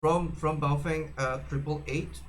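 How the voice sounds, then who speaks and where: A man presenting a casual, unscripted how-to demonstration, haltingly, often repeating and restarting his phrases. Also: a little high, steady tone. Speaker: tutorial presenter. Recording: clearly noisy background ambience.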